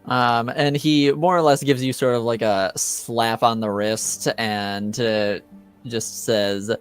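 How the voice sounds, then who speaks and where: A man's voice: mid-range voice, fairly even intonation, slow pace, smooth, soft background music. A man acting as game master, improvising narration for a tabletop roleplaying game with the players.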